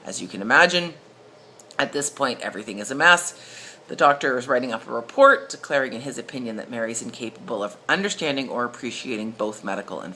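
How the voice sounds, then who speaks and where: A very deep-voiced woman presents a recorded lesson. Her delivery is bright and lively, with emphatic stresses and an informal, explanatory way of talking. There is faint noise behind her. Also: low-quality recording. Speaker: instructor. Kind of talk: recorded lesson, case study narration.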